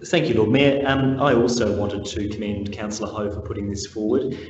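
A man speaking as a city councillor at a council meeting, with an even, steady delivery. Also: on the low side, brisk, distant mic, lo-fi sound, a little hiss or hum. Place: large formal council chamber. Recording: echoey hall from afar.

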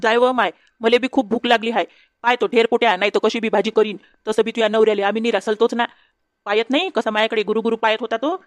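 A woman voicing a grumbling, sharp-tongued village mother-in-law in a comedy cartoon, with a Varhadi Marathi accent. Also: a little high, fairly even intonation, fast, no room sound, close to an everyday voice. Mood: grumbling, mocking. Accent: Varhadi Marathi from Vidarbha. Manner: griping, gossipy, insulting. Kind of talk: comedy cartoon dialogue in rural dialect.

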